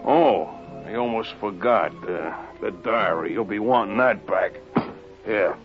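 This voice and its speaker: An actor playing a cocky, slangy small-time American hoodlum in a 1950s radio crime drama. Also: male voice, lowish voice, measured pace, lightly acted. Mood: cocky, taunting. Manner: slangy, cocky wise guy. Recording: dull old radio recording with music.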